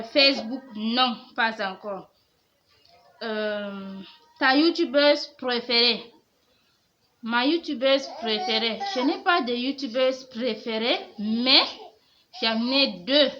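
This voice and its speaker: Young woman, fairly high voice, soft and hesitant, vlog Q&A, slightly muffled lo-fi sound. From Cameroon. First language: Fulfulde. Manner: soft-spoken, hesitant, frequent pauses. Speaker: home organisation YouTuber. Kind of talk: FAQ vlog, reading and answering questions. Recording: slightly muffled lo-fi audio with faint hiss.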